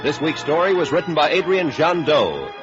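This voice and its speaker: A man's voice: on the high side, sing-song, moderate pace, over music. An American man announcing scripted credits in the manner of 1950s network radio. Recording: muffled vintage radio broadcast recording.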